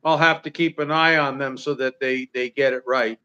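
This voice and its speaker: A man's voice: medium pitch, fairly even intonation, moderate pace, pushed and bright, smooth, lo-fi sound. A man in his sixties from Canada, chatting casually as livestream co-host, rambling with many fillers and restarts. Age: 60s. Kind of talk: casual chat.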